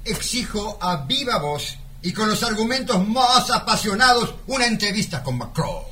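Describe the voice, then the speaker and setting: An impassioned champion of the workers in a comic radio play. His high voice swings widely as he declaims and pleads in melodramatic indignation. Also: sing-song, normal speed, pushed and bright, full character voice. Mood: demanding. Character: impassioned, earnest male workers' delegate, indignant champion of miners.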